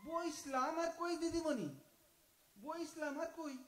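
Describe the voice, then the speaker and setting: A man's voice: very high-pitched, slow pace, a bit bright, heavily acted. A man acting an aging, cheeky thief in an audio drama, with an East Bengali dialect and a folksy, mock-polite, talkative manner. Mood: grumbling. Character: aging male thief, cheeky, philosophizing, self-styled honest. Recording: echoey room, far from the mic.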